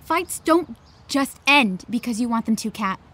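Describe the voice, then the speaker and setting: High, bright, wounded teenage girl. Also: animated, a little slow, slightly rough, exaggerated voice. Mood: guarded, hurt. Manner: sarcastic, exasperated, emphatic. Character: anxious, wounded teenage girl, a defensive aspiring actress. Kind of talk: naturalistic audio drama acting.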